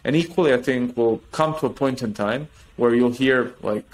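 A man talking as a guest on a podcast interview, explaining technology in an animated way with restarts and trailing thoughts. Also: mid-range voice, moderate pace, low-quality recording. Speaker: tech company guest.

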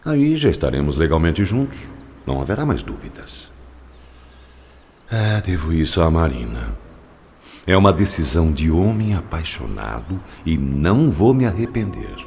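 An actor playing a stubborn, lovesick, ailing old man brooding aloud to himself in a radio drama, with a gravelly voice. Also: extremely low pitch, very animated, darkish tone, a little hiss or hum, exaggerated voice. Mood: stubborn resolve. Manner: slow, brooding, talking to himself. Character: sick, infatuated old man, stubborn and self-deluding. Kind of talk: radio drama inner monologue.

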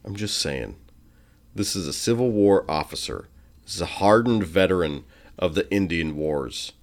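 A man around thirty co-hosting a podcast, teasing and expressive as he chats casually with a friend. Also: low voice, normal speed. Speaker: podcast co-host. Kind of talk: casual chat between friends.

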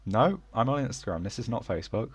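A man's voice: on the low side, sing-song, quick pace, breathy edge. A man co-hosting a casual podcast, rambling with lots of fillers and giggles, still sniffly from a cold. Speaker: podcast co-host. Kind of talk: casual chat.

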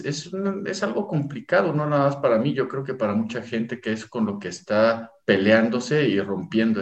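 A man's voice: fairly low pitch, dull audio. A Mexican man in his forties, joking in a casual panel chat with many fillers and hesitations. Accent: Mexican Spanish. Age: 40s. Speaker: co-host. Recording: echoey room.

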